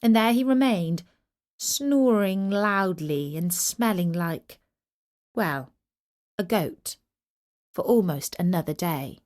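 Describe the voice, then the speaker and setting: Unhurried, expressive woman storyteller. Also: on the low side. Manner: expressive, measured, deliberate phrasing. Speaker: storyteller, narrator. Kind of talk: scripted children's storytelling narration of a Greek myth.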